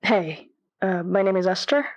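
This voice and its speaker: A woman playing a flustered, apologetic caller to a radio advice show in an audio drama, talking in a nervous, halting way. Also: medium pitch, dull audio, close to an everyday voice. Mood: nervous, embarrassed. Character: flustered, apologetic young woman with a ghost girlfriend. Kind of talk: naturalistic audio drama phone-in.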